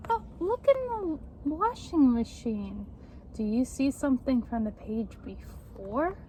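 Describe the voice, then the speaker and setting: A young woman reading a children's book aloud in a deliberate, expressive way, explaining and asking the listeners questions. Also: high-pitched, poor audio. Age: young adult. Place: outdoors on a front step by a house door. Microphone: camera at a short distance. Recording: faint outdoor street noise.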